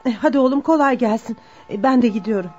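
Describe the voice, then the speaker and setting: A woman's voice: on the high side, fast talker, darkish tone, slightly rough. An actress playing a kindly, caring middle-aged mother in a radio drama. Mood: caring, busy. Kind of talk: Turkish radio drama acting. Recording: dull, lo-fi radio drama recording.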